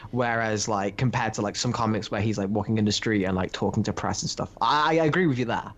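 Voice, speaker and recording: A man's voice: lowish voice, fast talker, fairly bright tone. Opinionated man on a dull lo-fi track. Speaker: podcast co-host. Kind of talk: casual comic book discussion.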